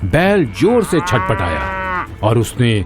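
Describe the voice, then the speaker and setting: A male narrator reads a children's tale expressively. His mid-range voice moves with plenty of melody at a slow, deliberate pace. Music plays under the narration. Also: mid-range voice, huge pitch swings. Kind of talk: scripted children's story narration.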